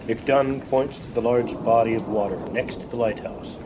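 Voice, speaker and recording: Matter-of-fact narrator voiced by a man, mid-pitched, scripted storytelling for a student radio play, rain behind. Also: fairly even intonation, moderate pace, background noise, close to an everyday voice.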